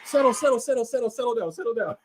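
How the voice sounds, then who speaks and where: A man with a Nigerian accent hosting a live online talk show, energetic and hyped, over a video call mic. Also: extremely high pitch, racing, background noise. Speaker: host.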